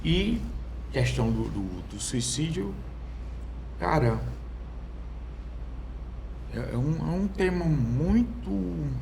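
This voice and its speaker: A young Brazilian man speaking as a podcast guest, telling his own story in a halting way, with many fillers and restarts. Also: on the high side, big pitch swings, vocal fry, a little mellow, slight background noise. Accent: Brazilian Portuguese. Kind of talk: personal testimony in conversation. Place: podcast studio.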